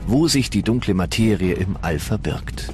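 Male documentary narrator, low voice, calm and measured, music bed underneath.

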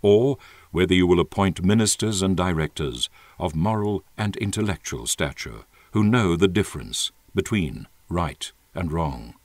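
A man giving an earnest, measured and emphatic dramatic reading of a written letter. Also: sits low. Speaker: narrator reading an open letter.

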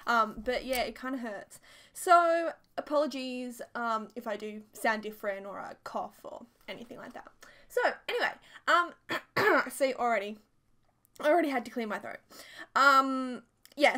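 A woman's voice: a little high, a bit bright. A young Australian woman with a sore throat from a cold, chatting in a casual, rambling way full of fillers as she tells a story over gameplay. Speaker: YouTuber narrating over a game build.

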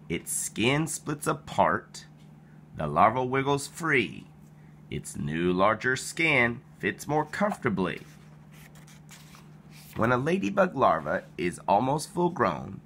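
Man, low bright voice, very lively pitch, expressive children's read-aloud, playful storyteller, background noise. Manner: animated, with playful exclamations and sound effects. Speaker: picture-book reader.

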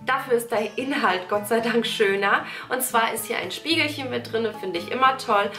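A young woman, a touch high in pitch, talks through beauty picks in a chatty, gushing way. Her nose sounds a little stuffed up from a cold. The small room rings with echo, and music plays clearly underneath. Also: a bit bright. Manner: chatty, gushing, addresses viewers as girls. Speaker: beauty vlogger. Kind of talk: casual product-recommendation vlog. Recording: echoey room with music behind.

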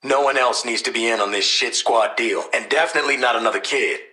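A man's voice: mid-range voice, fast, bright, forceful tone, reverberant, natural voice. An actor with an American accent playing a cocky, world-weary assassin antihero in a video game, wry, blunt and streetwise in his delivery. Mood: alarmed, stammering. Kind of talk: scripted video game voice lines.

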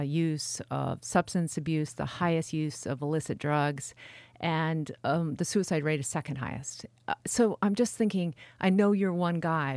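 Female interviewer, deep for a woman, dry close sound, conversational podcast hosting. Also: darkish tone, no room sound.

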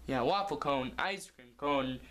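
A man's voice: mid-range voice, unhurried, a bit bright. A young man improvising a comic story as its narrator, recorded indoors in a living room. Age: young adult.

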